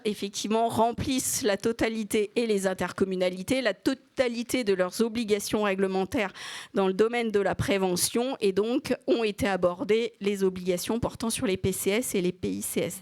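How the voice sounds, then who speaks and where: Female moderator, semi-formal and halting, pitched on the high side, very little room sound. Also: no room sound. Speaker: moderator opening a panel session. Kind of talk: semi-formal conference presentation.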